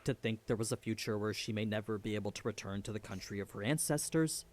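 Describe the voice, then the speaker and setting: A man's voice: lowish voice, fast. A man delivering a scripted story recap as a narrator.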